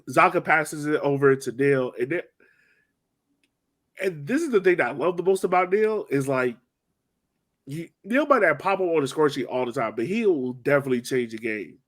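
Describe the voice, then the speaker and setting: A man hosting a sports podcast, recapping a match in casual, slangy, animated storytelling. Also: medium pitch. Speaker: podcast host.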